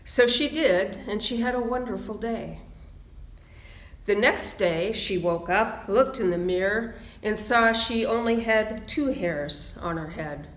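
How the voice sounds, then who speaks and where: Slow-speaking woman minister in an echoing room. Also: mid-range voice. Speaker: minister leading a church service. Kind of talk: sermon-like reading from a service. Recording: roomy, echoing sound.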